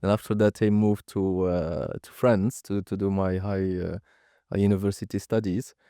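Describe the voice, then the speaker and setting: A man's voice: low voice, level tone, moderate pace, mellow, no echo, clean studio sound. A man from Morocco hosting an interview, chatting supportively and giving brief affirmations. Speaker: podcast host. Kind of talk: conversational interview.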